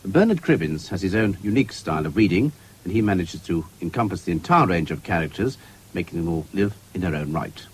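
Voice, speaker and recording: A man's voice: deep voice, animated, brisk, a little hiss or hum. A man giving formal, carefully phrased continuity announcements on a 1970s radio broadcast recording.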